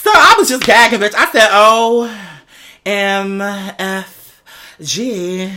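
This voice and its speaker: A woman telling a personal story as a host, in animated, slangy casual talk with a sassy, dramatic manner, peppering it with "girl" and swearing. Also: mid-range voice, slow, a little hiss or hum.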